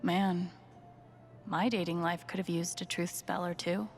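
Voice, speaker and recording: A woman's voice: fairly low pitch, fairly even intonation, measured pace, soft background music. An actress playing a wry, curious young woman roleplaying as a bard in a video game, talking conversationally. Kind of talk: video game acting, inner monologue.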